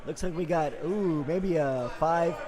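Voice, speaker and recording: A man with a raspy voice calling live sports play-by-play, hoarse after days of talking, upbeat and quick with frequent fillers. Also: on the high side, crowd noise. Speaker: play-by-play commentator.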